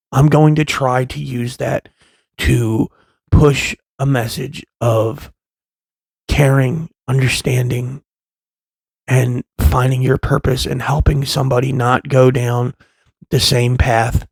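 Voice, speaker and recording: A man in his thirties talking in a casual, rambling monologue, profane and impassioned, with many restarts and a loud voice. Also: mid-range voice, fairly even intonation, measured pace, gravelly, slightly rough, no echo. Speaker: vlogger, father.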